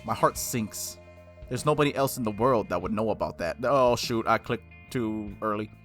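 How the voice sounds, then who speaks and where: A man's voice: lowish voice, normal speed, a bit bright, soft background music. A man playing and reading a visual novel aloud, with playful casual asides and the odd stumble over words. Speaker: gaming video host.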